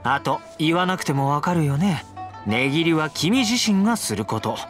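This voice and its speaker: Breezy, lively young man. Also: on the high side, normal speed, faint music behind. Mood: breezy, teasing. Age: young adult. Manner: casual, smooth-talking, playful. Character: breezy, confident, teasing young nobleman-fighter. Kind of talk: anime game character acting.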